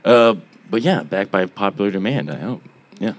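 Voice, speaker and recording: Very deep-voiced podcast host. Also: male voice, animated. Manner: halting, filler-heavy, joking. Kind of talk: casual chat. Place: live bar stage.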